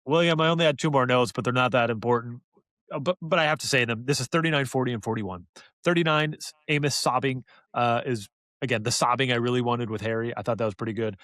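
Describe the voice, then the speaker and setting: A man's voice: medium pitch, fast talker, a bit bright, no room sound. Casual male podcast host. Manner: informal, jokey banter.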